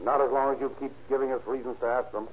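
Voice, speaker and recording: An actor playing a relentless police interrogator in an old radio crime drama, firm and accusatory. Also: male voice, mid-range voice, flat delivery, quick pace, natural voice. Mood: accusing, pressing. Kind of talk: 1940s-50s radio crime drama acting. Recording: dull, hissy old-time radio recording.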